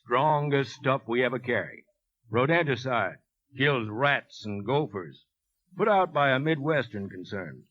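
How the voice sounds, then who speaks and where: A man's voice: mid-range voice, lively intonation, measured pace, no echo. An American man playing a chatty, folksy shopkeeper in a 1950s radio drama, on a dull vintage recording. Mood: chatty, amused. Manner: chatty, folksy, drawling emphasis. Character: folksy, talkative male garden-supply shopkeeper.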